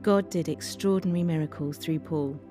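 Low-voiced woman reading a devotional, mellow tone. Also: normal speed. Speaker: devotional reader. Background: faint music.

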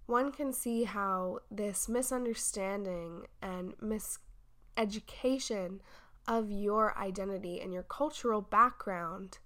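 A woman reading scripted narration as the host, measured and reflective. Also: mid-range voice, a bit bright. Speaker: narrator and interviewer.

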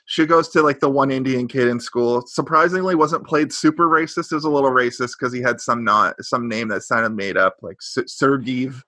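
A man's voice: mid-range voice, normal speed, hardly any pauses, bright, forceful tone, no room sound. A man co-hosting a podcast, chatting casually about an old sitcom, rambling and correcting himself, with a sarcastic edge. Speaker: podcast co-host. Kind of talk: casual chat recapping a sitcom.

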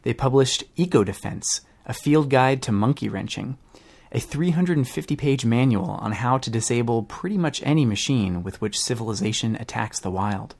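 A man's voice: medium pitch, lively intonation, normal speed, creaky, darkish tone. A man reading scripted documentary-style narration for a podcast. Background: music.

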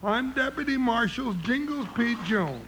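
An actor playing a big, good-natured cowboy deputy in a radio western, with a rustic American drawl and an animated, homespun manner. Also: male voice, very high-pitched, measured pace, exaggerated voice. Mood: earnest, soothing. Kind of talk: old-time radio western acting. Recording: noisy vintage radio recording.

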